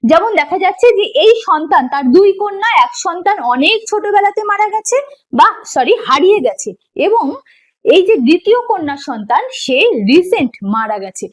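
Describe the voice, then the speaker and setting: A woman's voice: extremely high pitch, animated, moderate pace. A woman teacher giving an explanatory online lesson in a didactic way, posing questions and answering them herself, and repeating key points. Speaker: teacher of an exam preparation course.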